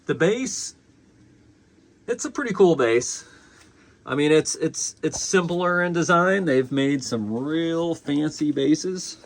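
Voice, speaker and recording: A man's voice: on the high side, animated, slow, vocal fry. A man casually reviewing a collectible in an unscripted, conversational way.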